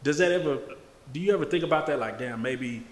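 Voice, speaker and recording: A man's voice: fairly high pitch, fast. A man co-hosting a casual interview, asking a personal question carefully and with hedges. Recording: echoey room with hiss.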